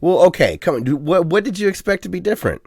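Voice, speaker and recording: A man's voice: high-pitched, big pitch swings, quick pace, creaky, darkish tone, husky, no echo, studio quality. A man co-hosting a casual gaming podcast, rambling and chatty with plenty of ums and uhs. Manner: self-deprecating, chatty. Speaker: podcast co-host.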